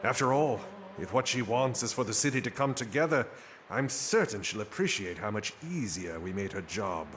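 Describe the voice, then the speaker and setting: An actor playing a cunning, coldly pragmatic guild leader in a fantasy game, speaking with deliberate, smug self-assurance. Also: male voice, fairly low pitch, lively intonation, a bit bright. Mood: smug, calculating. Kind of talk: scripted fantasy game voice acting. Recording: noisy game ambience behind the voice.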